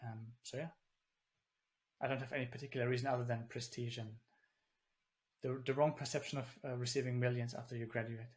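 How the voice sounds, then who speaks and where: A man's voice: medium pitch, level tone, a little fast. A man born in Germany, a native German speaker, chatting as an interview guest over a video call and rambling with many fillers and restarts. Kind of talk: casual conversation. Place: living in the Netherlands.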